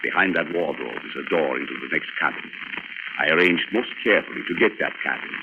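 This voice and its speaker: A suave, menacing male villain in a 1940s-style radio adventure. His voice is extremely low, and he threatens with mocking, unhurried politeness. The sound is tinny and very noisy. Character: suave menacing villain, heavyset gray-haired enemy agent. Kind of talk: old-time radio adventure acting. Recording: noisy, muffled old-time radio recording.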